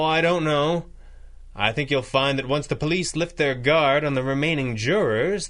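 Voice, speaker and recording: An actor playing a calm, wealthy masked crime-fighter in a 1930s-style radio drama, articulate and dryly witty. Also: male voice, fairly high pitch. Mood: cordial. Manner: dry, measured, articulate.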